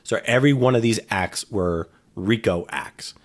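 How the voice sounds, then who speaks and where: A man's voice: lowish voice, unhurried. A middle-aged man talking casually in an interview, rambling with frequent restarts and fillers. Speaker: guest, former FBI agent.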